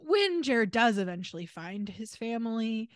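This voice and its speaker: A woman's voice: a little high, very animated, normal speed, no room sound, studio quality. A woman co-hosting a podcast, chatting casually through a film recap in a candid, opinionated, slangy way. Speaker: podcast co-host.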